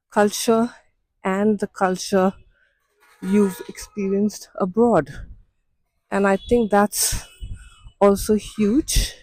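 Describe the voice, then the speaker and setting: A woman's voice: medium pitch, dark tone, no room sound. A woman with an Indian English accent, talking to the camera in a walk-and-talk vlog as she walks along outdoor city streets. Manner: measured, unhurried explaining. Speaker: vlogger and coach.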